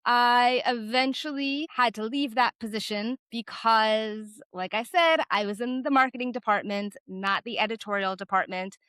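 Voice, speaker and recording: A woman in her forties with a naturally loud voice, frankly telling a personal story on her vlog with lots of casual fillers. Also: fairly high pitch, moderate pace, few pauses, smooth, no echo. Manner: chatty, frank, many "like" fillers. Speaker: vlogger.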